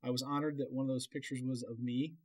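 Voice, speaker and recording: A man hosting a casual, chatty interview in a halting, rambling, self-deprecating way. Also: medium pitch, steady tone, a little mellow. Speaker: podcast interview host.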